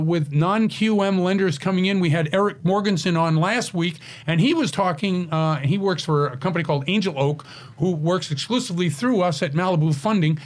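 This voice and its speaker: A middle-aged man hosts a radio talk show in a casual, chatty way. His voice sits a little high for a man, and he pauses often on fillers and restarts sentences as he talks. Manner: chatty, hesitant with many fillers.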